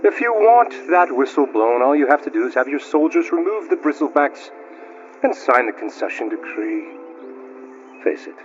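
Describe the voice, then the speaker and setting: Greedy villainous boss, smug, oily, mock-polite, swooping pitch, muffled game audio. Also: male voice, very animated, moderate pace. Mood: smug, goading. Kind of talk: cinematic video game acting. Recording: dull lo-fi audio, faint music.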